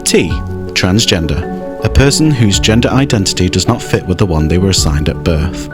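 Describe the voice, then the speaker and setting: A man's voice: low voice, normal speed, over music. A man narrating a scripted explainer, with chatty, wry asides to the listener.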